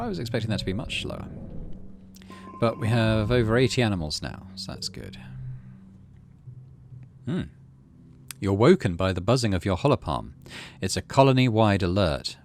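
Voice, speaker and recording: Low-voiced man doing game commentary. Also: huge pitch swings, moderate pace, pauses often, a little hiss or hum. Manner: thinks aloud, mutters through decisions. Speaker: game streamer, let's play host. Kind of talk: let's play commentary, reading story text aloud.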